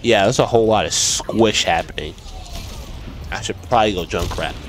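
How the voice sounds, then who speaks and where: A man's voice: fairly low pitch, moderate pace, background noise. A young man with a stuffy, sick-sounding voice chatting casually to viewers while he plays, recorded on a desk mic at home. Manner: chatty, talks to himself and viewers while playing. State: stuffy from a cold. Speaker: gaming streamer. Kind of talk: casual gameplay commentary. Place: home room. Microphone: desk mic with headset. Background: game music and sound effects.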